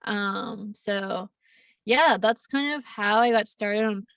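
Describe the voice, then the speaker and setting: A woman's voice: medium pitch, takes it slowly, fairly bright tone, dry recording. Laughing young woman, dry and distant. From Costa Rica. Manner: laughs often, rambling with fillers. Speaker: podcast guest, plant medicine facilitator. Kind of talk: casual interview storytelling.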